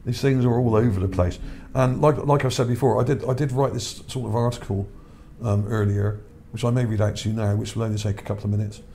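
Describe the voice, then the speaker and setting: Guy rambling over pics, low warm voice wearing out, bit of hiss. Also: steady tone, fast talker, few pauses. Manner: rambling, repeats words, checks in with "okay?". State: voice starting to go. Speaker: channel host. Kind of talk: casual narration over images, reading his article.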